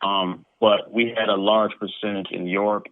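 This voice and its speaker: Male guest, lowish pitch, casual talker, thin phone-quality audio with no room sound. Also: level tone, moderate pace, no room sound. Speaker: interview guest, comic book creator. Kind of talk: casual conversational interview answers. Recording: narrow, tinny phone-like line.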